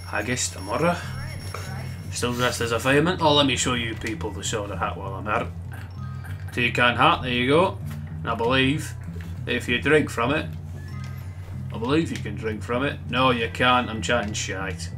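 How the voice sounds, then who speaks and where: A man putting on a brash, foul-mouthed Scottish character with a blunt, shouty manner for comic gameplay commentary. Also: medium pitch, normal speed, long pauses, close to an everyday voice. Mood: brash, grumbling. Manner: heavy swearing, blunt, shouty. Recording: music behind the voice.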